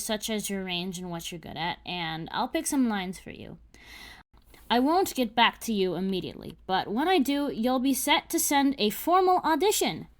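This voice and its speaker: A woman narrating scripted audition instructions and character descriptions, with precise, emphatic enunciation. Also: on the high side. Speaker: creator of an animated series giving a casting call.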